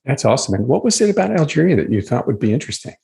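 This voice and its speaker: A man's voice: mid-range voice, sing-song, very fast, creaky, warm tone. A man hosting a podcast, reading an upbeat scripted introduction and then chatting with his guest. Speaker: podcast host.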